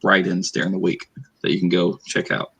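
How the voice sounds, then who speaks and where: Low gravelly man, soft Southern accent. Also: little pitch movement, fairly quick, slight background noise. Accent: Southern US, northeast Arkansas. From Arkansas, USA. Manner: laid-back, rambling plugs with fillers. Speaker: author and channel host. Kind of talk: casual livestream chat.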